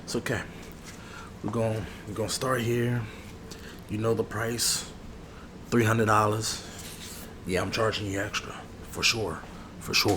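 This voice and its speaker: Man playing a low-voiced, grumbling ASMR barber. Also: close to an everyday voice. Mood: grudging, hustling. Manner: grumbling, repeats phrases, drawn-out asides. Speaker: ASMR role-play creator. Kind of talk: ASMR role-play, casual chat to the listener. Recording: clearly noisy background ambience.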